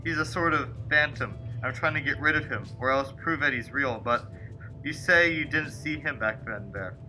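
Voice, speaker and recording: Young man acting a haunted motorist, sharp bright voice. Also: mid-range voice, moderate pace, soft background music, poor audio. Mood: agitated. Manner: earnest, dramatic. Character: frightened, haunted young male motorist narrating his ordeal. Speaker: student actor. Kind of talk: old-time suspense radio play acting.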